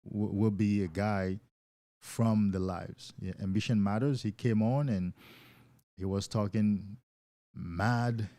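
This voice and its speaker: A man's voice: on the low side, fairly even intonation, takes it slowly, dark tone, no echo. A man hosting a casual live stream as a coach, talking loosely and enthusiastically to viewers and often repeating words as he goes.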